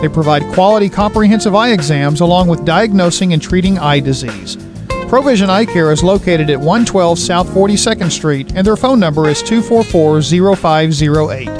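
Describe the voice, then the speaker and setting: High-pitched male announcer over guitar music. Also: expressive, fairly quick. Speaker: radio underwriting announcer. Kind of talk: scripted sponsor announcement. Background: plucked guitar music.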